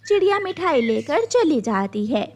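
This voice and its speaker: Expressive woman narrating a children's story. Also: sits high, measured pace.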